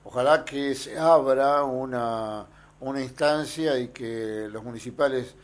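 A man hosting a radio show, making deliberate political comments and thanking his guest. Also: medium pitch. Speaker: radio host. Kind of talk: radio interview.

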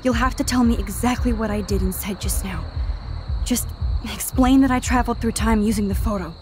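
A woman's voice: a little high, brisk, faint music behind, lightly acted. A woman playing an anxious, earnest young student. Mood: urgent. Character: shy young woman student who can rewind time. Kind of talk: video game voice acting. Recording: game audio with loud storm noise.

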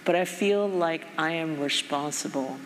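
A middle-aged woman from Japan, head of a foundation, talking thoughtfully onstage with many fillers. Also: sits low. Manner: thoughtful, measured, frequent fillers. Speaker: foundation president. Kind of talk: onstage conversation. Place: large conference stage. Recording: reverberant hall, faint noise.

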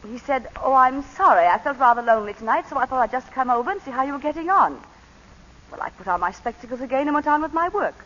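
An actress playing a composed planter's wife recounting a shooting, in 1940s radio acting. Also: female voice, sits high, lively intonation, fast talker, a bit bright. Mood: measured. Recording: muffled 1940s radio broadcast.

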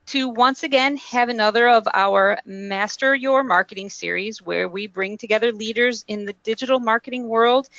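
An upbeat woman opens a webinar as host, with a bright, slightly gravelly voice pitched a little high. The sound is dry and dull, like a close computer mic with muffled lo-fi audio. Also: no room sound. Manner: upbeat, announcer-like. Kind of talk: scripted webinar introduction.

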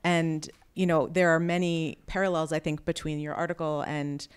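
A woman's voice: low voice, level tone, moderate pace, clean tone. A woman in her thirties moderating an on-stage interview, putting questions into a handheld microphone. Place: auditorium stage. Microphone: handheld microphone held at chest.

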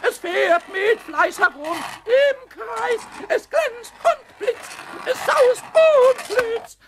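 An actor voicing a mischievous, impatient little male kobold in a children's radio play, squeaky and excitable. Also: slight background noise, exaggerated voice. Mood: thrilled.

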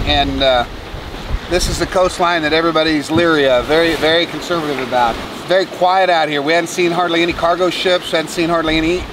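A man's voice: a little high, distant mic. A man in his fifties talks casually and matter-of-factly to camera on the deck of a sailboat at sea, with some restarts. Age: 50s. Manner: matter-of-fact, with restarts and ums. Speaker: sailing vlogger, father. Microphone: camera a few feet away. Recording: outdoor camera mic at sea, wind and waves.

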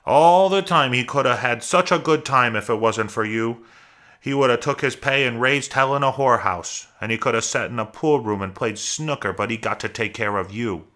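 A man puts on a scolding old country aunt from a storybook, raised above his usual pitch. The delivery is sharp and nagging, stern and full of reproach, with a forceful, bright edge. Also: normal speed, lightly acted. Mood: reproachful. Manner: sharp nagging rustic talk.

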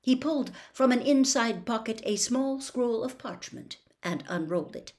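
An older woman narrating a storybook as host, with a theatrical, expressive delivery. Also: fairly high pitch, normal speed. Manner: theatrical, animated. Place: small home room with bookshelves.

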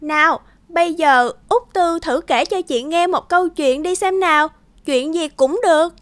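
An actress voicing a kind, encouraging village big sister in a cartoon, gently instructive. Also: female voice, extremely high pitch, measured pace, dry recording. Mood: cheerful, encouraging. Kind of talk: cartoon voice acting.

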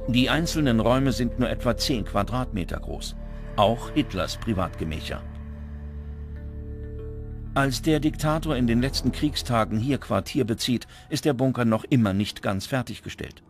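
A man reading scripted documentary narration in a measured, dramatic manner. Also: medium pitch. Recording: music under the voice. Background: background music.

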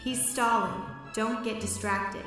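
A woman's voice: a little high, steady tone, slow, music in the background. Heavily echoing woman playing a focused courtroom helper. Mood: urging, focused. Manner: brief, urging. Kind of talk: audio drama acting. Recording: heavy echo and long reverb.

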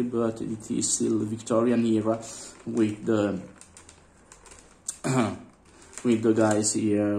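A hoarse man with a cold and a sore throat, showing collectible figurines in a casual vlog, speaking English as a second language with many fillers and throat clearing. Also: fairly low pitch, a little mellow, reverberant, slight background noise. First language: Italian. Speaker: vlogger. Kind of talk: casual unboxing commentary. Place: indoors at a table.

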